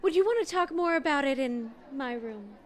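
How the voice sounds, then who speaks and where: Eager, gushing flirt at a dating event, lively and slightly high, played by a woman. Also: normal speed. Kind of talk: audio comedy drama.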